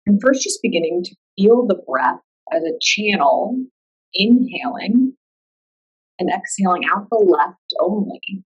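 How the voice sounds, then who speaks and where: A woman guiding a meditation in a calm, soothing, unhurried way, leaving long pauses between instructions. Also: medium pitch, breathy edge, muffled recording. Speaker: yoga nidra meditation guide. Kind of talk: guided meditation.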